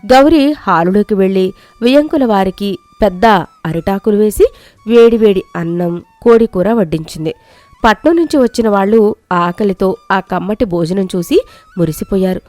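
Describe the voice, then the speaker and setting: Woman narrating a moral tale, even pace, faint music behind. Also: medium pitch, moderate pace, dry recording. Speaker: storyteller. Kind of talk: scripted storybook narration.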